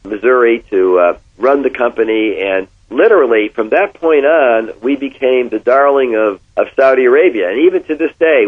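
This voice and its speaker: An older man with an American accent recalls his life for an interviewer. His voice is mid-pitched and rough around the edges, and he repeats words for emphasis. The sound is narrow and thin, as if over a telephone. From Connecticut, United States. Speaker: interviewee, former oil executive. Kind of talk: reminiscing in an interview. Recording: thin, phone-like band-limited sound.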